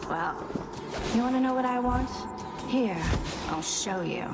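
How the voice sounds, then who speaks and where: A woman's voice: fairly high pitch, animated, unhurried. A woman voicing a spiteful, cruel fury in a video game, with a sneering, taunting drawl. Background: loud music.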